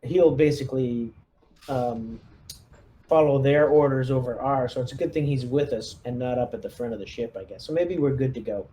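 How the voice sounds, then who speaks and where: Male tabletop player, squad-leader role. Mellow, middle-pitched, casual and tentative planner, light background noise. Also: normal speed, rough recording. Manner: collaborative, thinks aloud, hesitant phrasing. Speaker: player in a tabletop roleplaying game, leading the squad. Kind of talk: casual in-character tactical planning.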